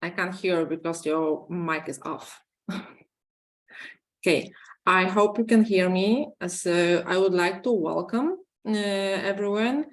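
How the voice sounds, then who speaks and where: A woman, hoarse from illness, giving an online conference presentation over a video call in halting English full of fillers. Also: lowish voice. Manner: halting, many fillers and restarts. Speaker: conference speaker.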